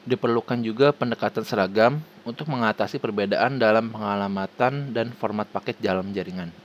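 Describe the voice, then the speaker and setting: A man with an Indonesian accent reading a formal scripted presentation haltingly, stumbling over words and restarting them. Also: fairly low pitch, a little hiss or hum. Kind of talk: scripted presentation read aloud.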